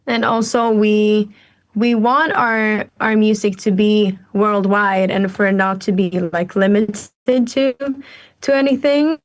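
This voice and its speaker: A woman's voice: mid-range voice, steady tone, slow. A woman from Sweden with a Swedish accent, a musician speaking thoughtfully and hesitantly in an interview. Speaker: musician guest.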